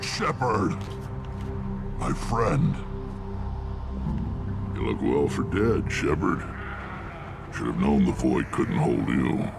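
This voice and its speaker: A booming-deep, gravelly alien warlord. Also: male voice, sing-song, slow pace, pauses often, over music, full character voice. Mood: hearty, pleased. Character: huge battle-hardened male krogan alien warlord, gruff, commanding. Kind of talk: video game dialogue.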